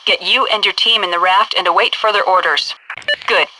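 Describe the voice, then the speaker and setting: An actress voicing a stern, brisk military headquarters instructor in a video game, giving clipped, commanding tutorial orders over a noisy radio-like transmission. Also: female voice, medium pitch, fairly even intonation, close to an everyday voice. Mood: brisk, instructive.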